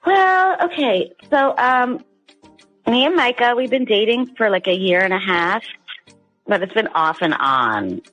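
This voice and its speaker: A woman's voice: fairly high pitch, sing-song, a little slow, fairly bright tone, lo-fi sound, soft background music. A woman calling in to a radio show, chatting casually in a hesitant, rambling way full of fillers. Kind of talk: casual chat.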